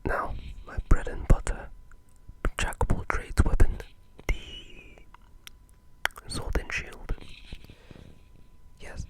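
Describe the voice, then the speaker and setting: A person speaking in a breathy, unvoiced whisper, casually discussing games in an ASMR style with a hushed, halting delivery. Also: low-quality recording. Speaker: ASMR gaming video creator.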